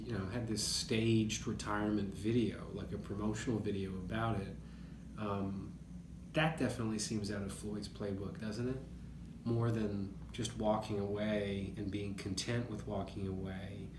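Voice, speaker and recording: Male sports pundit, lowish voice, casual and musing, roomy recording with noticeable background noise. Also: moderate pace. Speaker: boxing journalist, guest.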